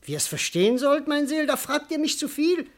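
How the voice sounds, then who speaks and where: Put-upon comic manservant for classical radio play: higher-pitched, talkative, cheeky, earnest, always protesting. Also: female voice, very animated, brisk. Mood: earnest, insistent. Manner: wordy, emphatic, protesting.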